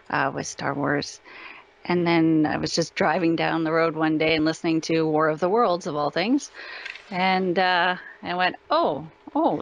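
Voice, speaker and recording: A woman's voice: low voice, vocal fry, lo-fi sound. A woman speaking as an interview guest, chatting casually in an informal, modest way.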